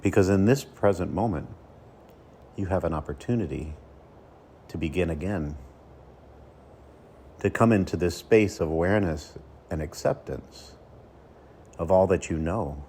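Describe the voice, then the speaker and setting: A man leading a guided meditation as a coach, speaking slowly and soothingly with deliberate pauses between phrases. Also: low-pitched, dark tone, slight background noise.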